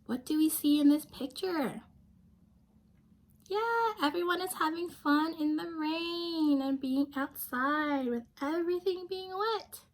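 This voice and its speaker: A woman's voice: high voice, takes it slowly. A young woman reading a storybook aloud to children, speaking simply and expressively and prompting her listeners with questions. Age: young adult.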